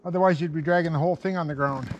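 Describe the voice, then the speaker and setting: A man's voice: fairly high pitch, fairly even intonation, very fast, muffled recording, a little hiss or hum. Older man talking outdoors in snowy woods. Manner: plain-spoken, giving short commands to horses. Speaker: horse logger. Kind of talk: casual chat while working. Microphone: camera mic from a few meters away.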